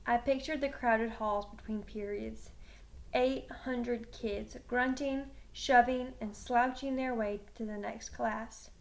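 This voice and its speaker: A woman with a high, slightly creaky voice reads a first-person children's novel aloud. Her delivery is animated, and she leans on key words for emphasis. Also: slow, rough recording. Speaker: teacher reading a novel aloud.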